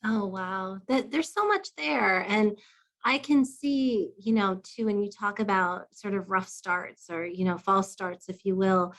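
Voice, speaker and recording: An enthusiastic woman hosts a podcast interview and chats warmly with her guests. Her voice sits in a middle range. Her delivery is conversational, with natural hesitations. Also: medium pitch, unhurried. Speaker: podcast host.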